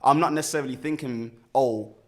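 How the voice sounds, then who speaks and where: Young man, mid-pitched and easygoing, thinks aloud with many restarts. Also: fairly quick. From Birmingham, England. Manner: relaxed, reflective, many restarts and fillers. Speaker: guest, musician. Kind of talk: casual interview.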